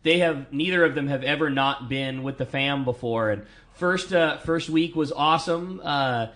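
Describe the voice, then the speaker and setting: A man in his thirties with a loud, powerful voice, co-hosting a fishing radio show and telling stories in a laughing, upbeat way. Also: on the high side, moderate pace. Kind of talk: casual radio chat.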